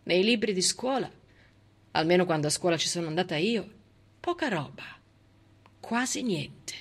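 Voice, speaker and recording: A woman delivering a theatrical storytelling monologue in a dramatic, emphatic way with deliberate pauses. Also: lowish voice, expressive, husky. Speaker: stage actress and narrator.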